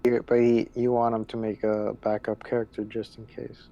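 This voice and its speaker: A man's voice: fairly low pitch, completely flat delivery, normal speed, dark tone, highs cut off. A man who is a player in a tabletop game, chatting casually with the group. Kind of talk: casual chat.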